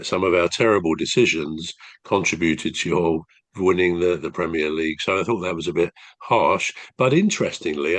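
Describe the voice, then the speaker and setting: A man from England, co-hosting a football podcast in a measured, thoughtful way, his nose still blocked from a cold. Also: low voice, fairly bright tone, dry recording. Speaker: podcast co-host, former referee. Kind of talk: casual podcast chat.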